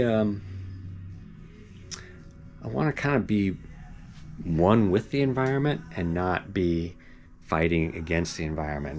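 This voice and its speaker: A man with a very low, deep voice talks casually about his property. He rambles with plenty of fillers and restarts and chuckles now and then. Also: a little mellow. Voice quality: deep voice that carries. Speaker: vlogger giving a property update. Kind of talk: casual rambling talk to camera. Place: home property in the Pacific Northwest forest.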